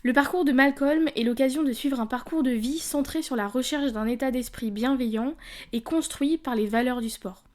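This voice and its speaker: A woman's voice: high voice, moderate pace, a little mellow. A woman hosting a podcast, reading a scripted introduction and then interviewing a guest politely. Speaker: podcast host.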